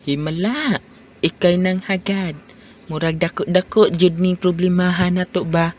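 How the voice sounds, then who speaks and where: A man's voice: sits high, steady tone, unhurried, dull audio, slight background noise. A man with a Cebuano accent playing a playful, teasing best friend in a student radio drama. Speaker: friend Bentong.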